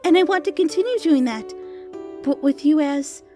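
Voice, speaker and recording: A woman voicing a nervous, stammering, lovestruck female monster in a squeaky story-reading voice. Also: warm tone, faint music behind, natural voice. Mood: tender, pleading. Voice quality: high, squeaky. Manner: stammering, halting, anxious. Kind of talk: dramatic fan fiction reading.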